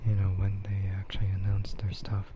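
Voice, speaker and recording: A man chatting casually on an ASMR livestream in a soft, breathy, often whispered voice, rambling in a relaxed, hesitant way. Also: deep voice, brisk. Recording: muffled with clear background noise.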